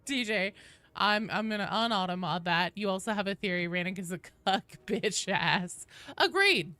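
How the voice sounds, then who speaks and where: A woman game streamer with a bright, forward voice at an average pitch. She talks casually to her chat, reading comments and answering them playfully, and she swears freely. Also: expressive, moderate pace, no room sound, faint music behind.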